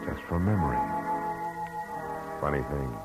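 A weary hard-boiled private eye murmurs his story in a very deep voice. His narration is low and reflective, with music under it, like an old radio broadcast. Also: lively intonation, unhurried. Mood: brooding. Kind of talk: 1940s radio drama narration.

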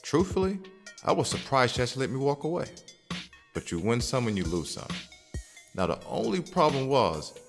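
A man's voice: medium pitch, big pitch swings, moderate pace, faint music behind. A man playing a confident, smitten young single man in an audio drama, talking in a slangy, conversational way. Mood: reflective. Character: cocky, smitten young single man telling his story. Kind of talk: audio drama narration and dialogue.

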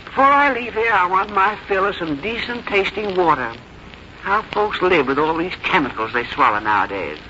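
A woman's voice: on the low side, animated, normal speed, lightly acted. An actress playing a fussy, fond but bossy older American farm mother in a 1950s radio drama, with homespun, matter-of-fact phrasing. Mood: brisk, fussy. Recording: muffled, noisy old radio broadcast recording.

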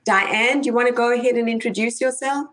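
A woman's voice: on the high side, fairly even intonation, brisk, fairly bright tone. A woman hosting an interview show, introducing topics and putting questions to guests. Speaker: host.

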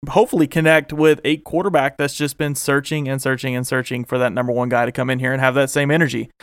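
A man's voice: medium pitch, a bit bright, dry recording, studio quality. A man hosting a casual fan podcast, enthusiastic and rambling, laughing often, his voice getting a little worn. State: losing his voice. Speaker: podcast host.

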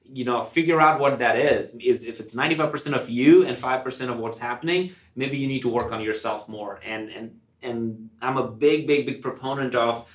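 A man's voice: medium pitch, moderate pace, hardly any pauses, a bit bright. A man co-hosting a casual podcast discussion, talking in an earnest, encouraging and emphatic way. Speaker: podcast co-host. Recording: roomy, echoing sound.

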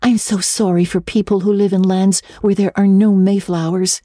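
A woman voicing a dreamy, talkative schoolgirl in a storybook, with earnest, dramatic and breathy emphasis. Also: mid-range voice, level tone, moderate pace, dark tone, no room sound, clean studio sound, pitched above the performer's own voice, lightly acted. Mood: dreamy wonder. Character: dreamy, talkative, romantic schoolgirl with vivid imagination. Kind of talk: dramatic audiobook reading of classic novel.

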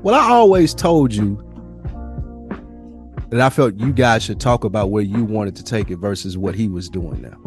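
Low-pitched American man, casual podcast host. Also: animated, normal speed, faint music behind. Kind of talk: casual conversational interview.

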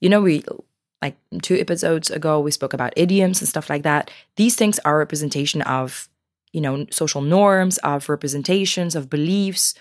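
Woman, Brazilian accent, podcast co-host, animated, laughs a lot, lowish pitch, dry sound. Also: normal speed, dry recording. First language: Brazilian Portuguese. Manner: animated, laughs often, fillers like "you know". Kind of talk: casual conversation.

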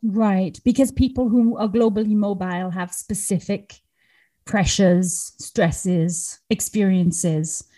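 Mellow-voiced enthusiastic woman host. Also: medium pitch, fairly even intonation, takes it slowly. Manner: enthusiastic, affirming. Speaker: podcast host. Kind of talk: podcast interview.

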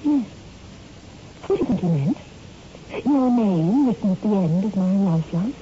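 An actress playing a frightened, accusing wife in a 1960s radio horror drama, with emphatic, melodramatic delivery. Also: female voice, medium pitch, lively intonation, normal speed, mellow, lightly acted. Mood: intense, hopeful. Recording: muffled, noisy old radio recording.

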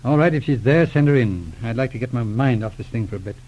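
An actor playing a grave, courteous private detective in a 1940s radio drama, with a clipped, businesslike, formal manner. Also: male voice, mid-range voice, lively intonation, fast, natural voice. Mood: resigned, serious. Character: grave, courteous male private detective, former police inspector. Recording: dull vintage radio recording.

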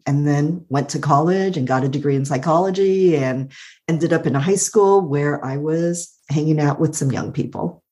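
Low, soothing woman's voice. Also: moderate pace. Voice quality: soothing, calm voice. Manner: warm, thoughtful, laughs often, uses "like" a lot. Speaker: guest. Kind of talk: reflective personal storytelling.

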